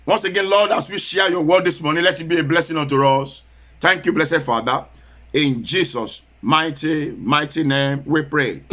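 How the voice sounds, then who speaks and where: A man preaching a sermon with a Nigerian Yoruba accent, whose first language is Yoruba, slowly repeating key phrases for emphasis and switching into Yoruba. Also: fairly high pitch, fairly bright tone.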